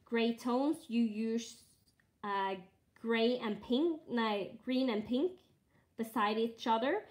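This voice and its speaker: Slow, hesitant Norwegian-accented woman. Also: fairly high pitch. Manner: halting, thinking aloud, frequent fillers. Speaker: cross-stitch streamer. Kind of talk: casual live stitch-along chat.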